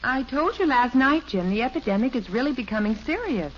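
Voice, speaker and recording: Solicitous middle-aged American sitcom mother, fairly high lively voice, muffled vintage radio sound. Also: fairly quick. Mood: mildly protesting. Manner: placating, mild, solicitous. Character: gentle, soothing middle-aged housewife and mother. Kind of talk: 1950s radio sitcom acting. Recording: dull, noisy old radio broadcast recording.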